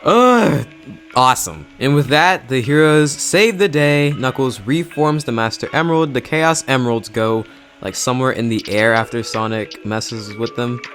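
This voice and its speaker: A man's voice: mid-range voice, normal speed, fairly bright tone, faint music behind. A young man hosting a casual video review in an enthusiastic, slangy, emphatic way. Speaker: YouTube host and film reviewer.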